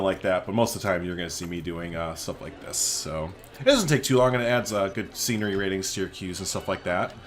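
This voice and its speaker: Guy doing a chatty let's play, lowish voice but swings up and down a lot, informal, thinking out loud. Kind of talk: casual gameplay commentary.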